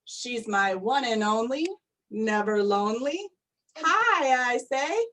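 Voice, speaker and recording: A woman reading picture books aloud to young children, expressive and deliberate, often putting questions to her listeners. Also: on the high side. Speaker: storytime reader for young children. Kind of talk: animated picture-book read-aloud to children.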